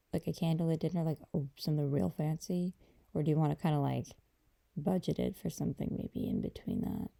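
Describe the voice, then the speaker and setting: A woman playing a gentle, affectionate girlfriend in an intimate ASMR roleplay, talking softly and hesitantly to a partner. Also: exaggerated voice. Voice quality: dark, creaky low voice. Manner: soft, hesitant, unhurried conversational delivery.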